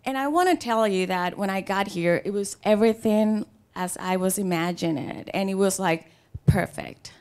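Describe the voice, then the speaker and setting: A middle-aged woman from the Dominican Republic with a Dominican Spanish accent, a native Spanish speaker, telling a personal story live in a conversational, playful way with dramatic pauses. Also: mid-range voice, a little mellow, clear, resonant tone. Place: live storytelling show before an audience.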